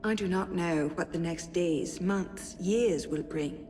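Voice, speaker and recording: An actress playing a spirited young French noblewoman in a video game, reading letters aloud in an expressive, measured, articulate way. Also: fairly low pitch, soft background music. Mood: hopeful, reflective.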